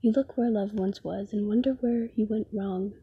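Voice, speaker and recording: A woman performing a slam poem in a soft, breathy voice with a solemn, deliberate delivery. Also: mid-range voice, fairly even intonation, dry recording, narrow, tinny audio. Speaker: poet. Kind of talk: slam poetry recital.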